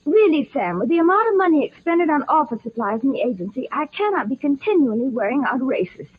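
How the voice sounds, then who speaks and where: Through a thin, tinny vintage radio recording comes a very high-voiced, prim young secretary. She chatters in wordy, breathless sentences, fussy and easily flustered, in the manner of 1940s radio drama. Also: sing-song, fast talker. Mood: prim, reproachful. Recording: band-limited old radio broadcast recording.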